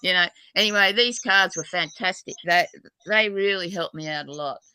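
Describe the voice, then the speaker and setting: Bright, clear woman with broad Australian accent. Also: fairly low pitch, normal speed, poor audio. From New South Wales, Australia. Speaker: guest dowser. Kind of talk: casual chat.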